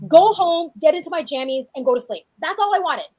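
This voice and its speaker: A woman in her forties with a high, light voice talks as a fitness coach on a podcast. Her delivery is chatty and animated, full of quick restarts. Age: 40s. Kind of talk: casual podcast chat.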